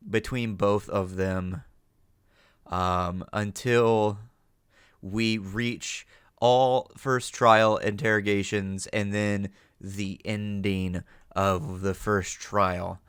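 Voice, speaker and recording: A man's voice: on the low side, fairly bright tone, clear, resonant tone, no echo, clean studio sound. A man chatting casually to viewers as a reaction video host, rambling, swearing and putting himself down. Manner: self-deprecating, sweary, scattered and rambling. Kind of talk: casual unscripted chat to viewers.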